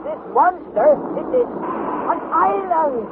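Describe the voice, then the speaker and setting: An actor playing a steady, deferential Icelandic guide in an old radio drama, speaking in slow, simple phrases. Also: male voice, extremely high pitch, phone-quality sound, exaggerated voice. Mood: urgent. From Iceland (the figure). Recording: thin, noisy vintage radio recording.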